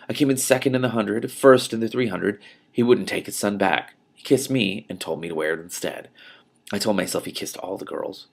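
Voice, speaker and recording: A man reading a novel aloud as host, in an expressive style with emphatic phrasing and off-the-cuff commentary. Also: fairly low pitch. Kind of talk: expressive audiobook-style reading with spontaneous reactions.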